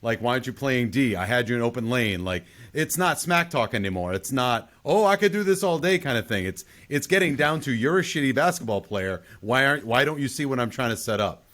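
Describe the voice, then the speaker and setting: Mid-pitched man puzzling aloud on a podcast. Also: very animated, brisk, hardly any pauses, fairly bright tone. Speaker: podcast panelist. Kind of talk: casual film discussion.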